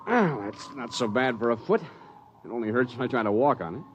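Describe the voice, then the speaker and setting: A man's voice: mid-range voice, expressive, brisk, lightly acted. A man playing an easygoing, stoic climbing buddy in an old-time radio drama. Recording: dull vintage radio recording.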